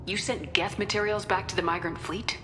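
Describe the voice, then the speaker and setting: A woman's voice: medium pitch, fairly even intonation, fairly quick, close to an everyday voice. A woman playing a firm, loyal starship commander in her thirties in a video game, direct and composed. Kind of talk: video game cutscene acting. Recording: noisy ambience behind.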